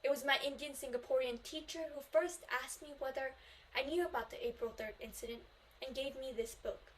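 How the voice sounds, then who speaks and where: A woman's voice: high-pitched, steady tone, a little fast, a little hiss or hum, poor audio. A Korean teenage girl, a native Korean speaker, delivering an earnest memorized speech in English at a student contest, stressing key phrases. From South Korea. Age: teens.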